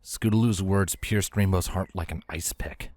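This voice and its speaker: Guy narrating a story, lowish voice, really dry close recording. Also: moderate pace, a little mellow, dry recording.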